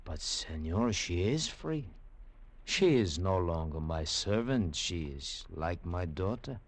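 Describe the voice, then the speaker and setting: A proud, courteous archaeologist in a 1950s radio drama. His very deep voice stays formal, polite and measured. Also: expressive, full character voice.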